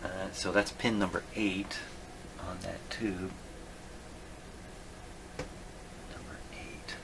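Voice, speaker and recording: Low, gravelly man muttering at a workbench. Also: level tone, noisy background. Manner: muttering to himself, halting. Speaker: host of a radio repair video. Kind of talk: unscripted hands-on demonstration, thinking aloud. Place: radio repair workshop.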